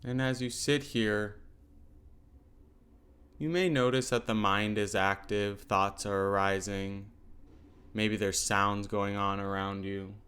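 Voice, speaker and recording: A man leading a guided mindfulness meditation as a teacher, giving gentle, unhurried instructions with pauses. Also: fairly low pitch, level tone, clean tone.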